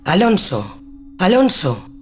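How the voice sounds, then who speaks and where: A woman's voice: fairly low pitch, sing-song, slow, a little mellow. A woman playing a proud, mocking young noblewoman in a dramatized gothic horror tale, with an ironic, indifferent manner. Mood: calling out.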